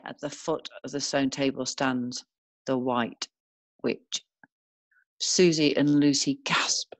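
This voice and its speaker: A woman narrating a screenplay, reading scene headings and stage directions in a measured way. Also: deep voice, no room sound.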